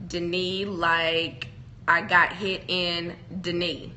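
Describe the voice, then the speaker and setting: A woman's voice: lowish voice, flat delivery, slow pace, bright, forceful tone, a little hiss or hum. A young woman co-hosting a casual couple's chat show, rambling and playful with frequent giggles. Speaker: podcast co-host.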